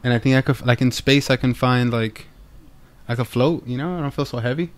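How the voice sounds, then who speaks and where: A man's voice: mid-range voice, a little fast. A man hosting a casual podcast with his wife, joking and teasing with lots of fillers. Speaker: podcast host.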